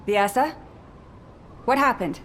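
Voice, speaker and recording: A woman's voice: on the high side, expressive, slow, very noisy. An actress playing an earnest, polite young female operative in a video game, eager and caring. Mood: alarmed.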